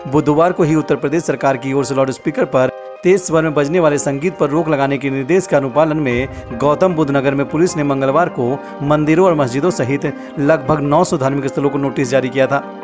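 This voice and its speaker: A man's voice: fairly high pitch, a little fast. An Indian man narrating a scripted news report as a voice-over. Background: prominent music bed.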